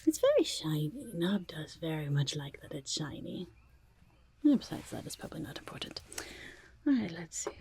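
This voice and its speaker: Woman playing a whispering, creaky-voiced goblin trader. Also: sits low, a little mellow, heavily acted. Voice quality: breathy whisper with creaky murmurs. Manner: sly, rambling, muttering salesperson, refers to self by name. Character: eccentric, shifty goblin market trader, chatty and boastful. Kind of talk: whispered ASMR roleplay.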